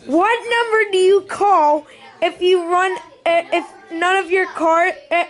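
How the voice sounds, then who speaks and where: Very high-voiced, slow-talking woman. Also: fairly even intonation. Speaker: puppet show host. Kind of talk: informal presenting to a family gathering.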